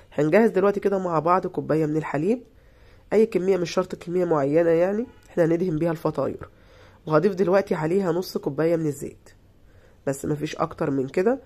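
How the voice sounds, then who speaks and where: A woman with an Egyptian Arabic accent, hoarse from being ill, giving a chatty, reassuring voice-over for a cooking tutorial. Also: low voice, a little mellow. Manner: chatty, reassuring, repeats herself. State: hoarse from illness. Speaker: cooking channel host.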